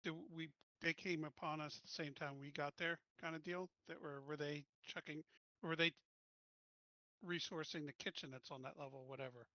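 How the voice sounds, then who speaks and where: Male tabletop player, halting questions, higher bright voice, dry close sound. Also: steady tone, no room sound. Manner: hesitant, questioning. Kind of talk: casual tabletop play. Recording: dull, lo-fi.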